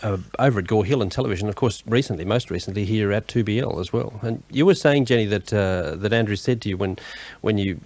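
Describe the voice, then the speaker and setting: A man's voice: lowish voice, fast talker, hardly any pauses, darkish tone. An Australian man presenting live radio interviews in a hesitant, conversational way. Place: radio studio.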